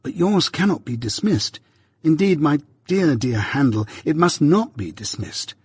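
A man reading aloud, voicing an affectionate young Victorian gentleman who speaks eagerly and cordially to his friend in a raised, bright voice. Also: fairly high pitch, animated, unhurried, breathy edge, no room sound, voice pushed up, heavily acted.

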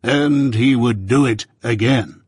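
Guy doing a puffed-up preachy old shopkeeper, higher and louder, husky dry sound. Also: dry recording. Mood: lecturing. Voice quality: pompous, preaching, drawn-out emphasis. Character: pompous self-righteous older male corn merchant.

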